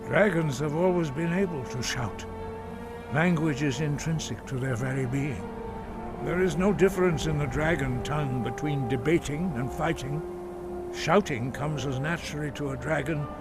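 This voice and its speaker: An actor playing a solemn old monk master in a video game, teaching in a measured, formal and reverent way. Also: male voice, on the high side, close to an everyday voice. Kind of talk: scripted video game dialogue. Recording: game music loud behind. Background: game music.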